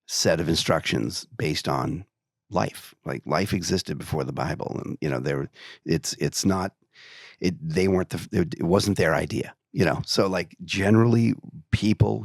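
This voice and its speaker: A man's voice: gravelly, a little mellow, no echo. A man from Maine chatting as a guest on a podcast in a studio, thoughtful and loose, acting out the questions he quotes. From Maine, USA. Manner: reflective, speaks in quoted questions with big pitch jumps. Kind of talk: casual podcast chat.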